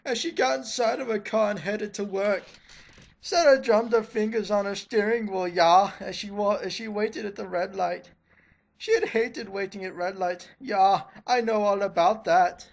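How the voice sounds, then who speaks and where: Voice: medium pitch, moderate pace, gravelly, muffled recording. Theatrical, sarcastic dramatic reader. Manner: theatrical, exasperated, breaks off for snarky remarks. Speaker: reader of fan fiction. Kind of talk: mock dramatic reading with sarcastic asides.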